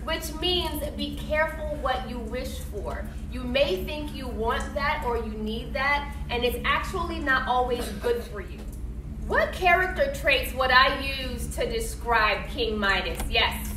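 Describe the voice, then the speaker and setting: A woman's voice: sits high, unhurried. A woman teaching young pupils in a classroom, asking questions and explaining in an encouraging, didactic way. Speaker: elementary school teacher. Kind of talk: classroom read-aloud discussion, questioning pupils. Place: indoor classroom. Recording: echoey, noisy classroom from a distance.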